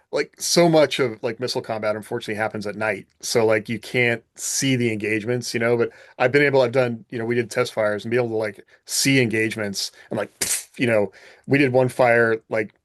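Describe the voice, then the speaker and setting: A man with a medium-pitched voice, recorded dry with no room around him. He chats very informally as a podcast co-host, his sentences loosely strung together with conversational fillers. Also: no echo. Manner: informal, peppered with "like" and "you know". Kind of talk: casual chat from personal experience.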